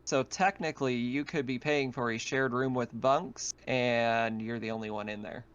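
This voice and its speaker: A man's voice: mid-range voice, steady tone, moderate pace, fairly bright tone, clear, resonant tone. A man acting as game master in casual chat, explaining things and joking along. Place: online voice call. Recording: dull lo-fi voice chat.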